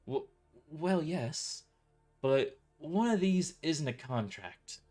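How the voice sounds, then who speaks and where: A man's voice: fairly high pitch, expressive, slow, mellow, faint music behind, pitched above the performer's own voice. A man voicing a sheepish young office dispatcher, hesitant, stammering and apologetic, in an audio drama.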